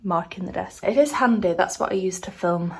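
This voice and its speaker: A woman's voice: lowish voice, a little fast, vocal fry, darkish tone, breathy edge. Stuffed-up woman chatting. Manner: chatty and rambling, full of "like" fillers. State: stuffed up and nasal from a cold. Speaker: artist vlogger. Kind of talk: casual studio tour vlog. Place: small home art studio.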